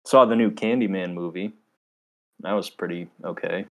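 Low-key young man with a flat delivery. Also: moderate pace. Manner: low-key and flat, self-described monotone. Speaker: podcast co-host. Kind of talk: casual chat.

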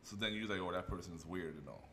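A man's voice: low-pitched, quick pace, sharp tone, slight background noise. A man hosting a casual radio chat, rambling and joking as he acts out other voices. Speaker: radio host.

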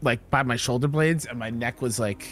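A man as a podcast guest in casual chat, his voice a little raspy after losing it, relaxed and easygoing. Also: medium pitch, normal speed, over music.

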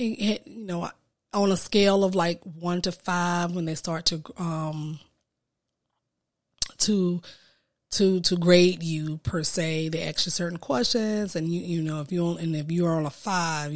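A woman hosting a casual solo podcast, sounding congested and a bit nasal from a cold, talking slowly and earnestly with frequent ums. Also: lowish voice, dry recording, clean studio sound. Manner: slow, earnest, with frequent ums and soft asides. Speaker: podcast host and business coach.

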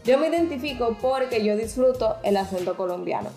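A woman's voice: fairly high pitch, expressive, brisk, warm tone, roomy sound, faint music behind. A woman co-hosting a podcast who explains topics frankly in casual chat, with many restarts. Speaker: podcast co-host.

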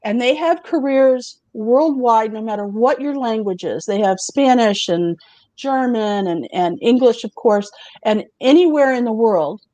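Woman, middle-aged, casual show host, chatty, laughing, fairly high voice. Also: expressive, measured pace, dark tone, no echo. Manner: chatty, laughs often, asks the others questions. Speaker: host presenting remote job openings. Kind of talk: casual chat show presentation.

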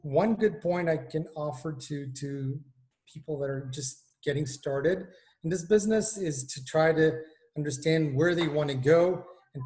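Israeli guy in his 40s on a video call, lots of uh and restarts, voice a bit on the high side. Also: a little mellow. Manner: hesitant, many fillers and restarts. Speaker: guest, engineering manager. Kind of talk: casual video-call interview.

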